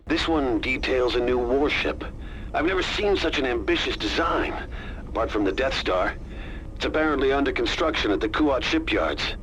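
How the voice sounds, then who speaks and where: A man's voice: medium pitch, expressive, moderate pace, fairly bright tone, a little hiss or hum, close to an everyday voice, poor audio. Man acting a haughty, swooping fleet commander. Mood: intrigued. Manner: pompous, clipped commands. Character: haughty, sardonic male pirate fleet commander. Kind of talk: video game voice acting.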